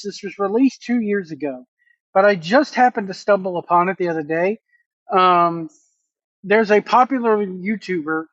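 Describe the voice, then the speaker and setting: A man gives casual, off-the-cuff video commentary as host. His delivery is halting and searches for words. The recording is dry, with a dull lo-fi tone. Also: sits high, dry recording.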